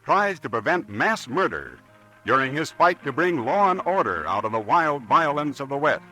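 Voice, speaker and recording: A male radio announcer gives a crisp, formal closing over faint music. His pitch is lively and his tone bright. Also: moderate pace, poor audio. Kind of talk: scripted announcement.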